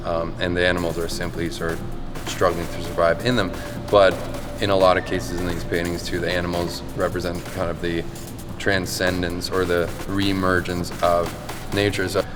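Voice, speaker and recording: Male artist, low and even, reflective, reverberant hall, music underneath. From Finland and Canada. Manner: measured, thoughtful, with pauses and restarts. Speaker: painter. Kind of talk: reflective interview monologue. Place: large art gallery. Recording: long reverb with music behind.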